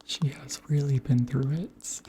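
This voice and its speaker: A beauty creator around thirty speaking softly in a whispery, breathy voice, gently chatting through products in an unhurried ASMR style. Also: mid-range voice, fairly even intonation, slight background noise. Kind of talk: soft-spoken ASMR chat and product show-and-tell.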